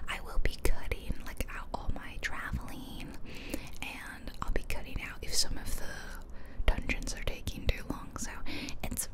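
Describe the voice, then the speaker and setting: A woman whispering chatty, playful ASMR commentary over a video game, close to the microphone. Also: a little slow. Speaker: host of an ASMR gaming channel. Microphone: close ear-to-ear ASMR microphone.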